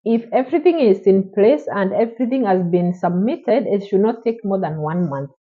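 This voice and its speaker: A woman's voice: mid-range voice, expressive, moderate pace. Female vlogger, encouraging and direct, slightly muffled recording. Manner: encouraging, repetitive, direct address. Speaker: vlogger answering viewer questions. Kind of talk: casual advice vlog. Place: Oman.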